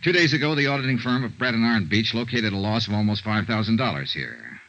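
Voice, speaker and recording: An American actor playing a brisk, hard-nosed insurance investigator and narrator in a 1950s radio serial, with a clipped, dry, businesslike delivery. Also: male voice, fairly low pitch, expressive, a bit bright. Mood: businesslike, probing. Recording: muffled 1950s radio recording.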